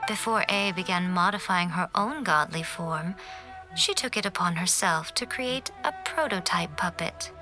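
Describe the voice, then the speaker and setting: A woman voicing a knowing, courteous divine fox familiar in an anime video game, speaking in a measured, formal, gently wry manner. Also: fairly low pitch, expressive, music in the background, close to an everyday voice. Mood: explaining, composed.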